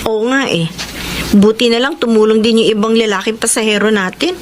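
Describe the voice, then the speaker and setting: A woman's voice: fairly high pitch, fairly even intonation, a little fast, natural voice. A woman with a Filipino accent playing a feisty, honest young bus conductor in a TV drama. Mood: earnest, casual. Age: young adult. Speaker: actress. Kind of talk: TV drama acting. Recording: noisy location sound.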